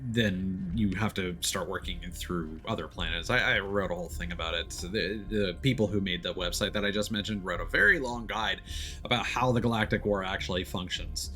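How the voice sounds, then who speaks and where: A man's voice: deep voice, fairly quick, bright, forceful tone, soft background music. A man streaming a video game, giving casual, rambling, informal commentary as he explains how it works. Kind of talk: casual let's-play commentary.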